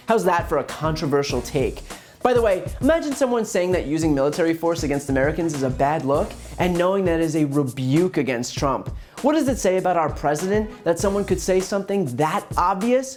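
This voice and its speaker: A man's voice: on the high side, darkish tone. Sardonic man commentating over music. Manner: pointed, sardonic. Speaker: political commentary host. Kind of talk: scripted political commentary. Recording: music under the voice. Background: music bed.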